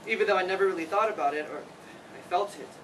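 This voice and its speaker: A man acting grown-up son, stage play reading, high voice, distant, noticeable background noise. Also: quick pace, fairly bright tone. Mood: grieving, regretful. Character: grieving adult son, teasing, regretful, defensive. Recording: distant mic, noisy room.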